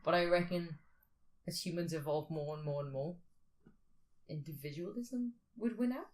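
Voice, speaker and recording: A woman with a slightly low voice co-hosts a casual podcast. She thinks aloud in loose, informal phrases. Her delivery is playful and conversational. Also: normal speed, darkish tone. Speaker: podcast co-host. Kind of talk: casual speculative chat.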